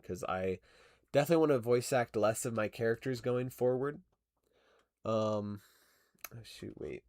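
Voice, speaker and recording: Young man, low voice, casual rambling livestream chat, lots of "like", dry close sound. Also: no echo. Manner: rambling, lots of fillers, laughs often. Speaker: stop-motion animation streamer.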